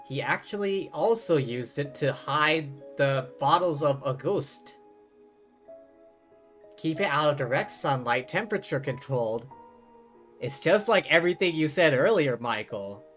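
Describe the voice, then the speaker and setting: A man streaming a story game, recapping and reading along deliberately with dry asides. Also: medium pitch, a bit bright. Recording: game music behind the voice.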